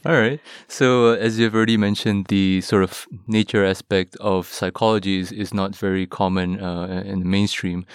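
A man's voice: deep voice, little pitch movement, moderate pace, hardly any pauses, clean tone, dry recording, clean studio sound. A young man from Singapore with a Singaporean English accent, hosting a podcast in an eager, upbeat way. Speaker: podcast host. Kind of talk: podcast interview.

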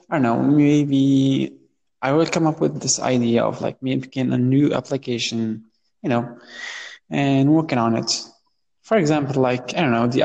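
A man's voice: medium pitch, vocal fry, dark tone, dull audio. Hesitant young Moroccan man, reverberant sound. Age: 20s. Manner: hesitant, searching for words. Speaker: interview guest. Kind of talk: casual interview conversation.

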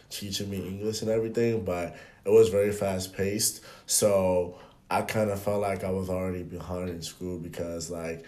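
Give me a deep-voiced twenty-two-year-old man on a podcast. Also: reverberant. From Benin. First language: French. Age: 20s. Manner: relaxed storytelling with frequent fillers. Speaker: guest. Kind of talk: casual podcast chat.

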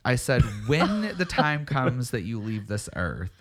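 A man, co-hosting a church podcast in casual chat, telling stories in a dry, animated way. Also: medium pitch, unhurried, faint music behind.

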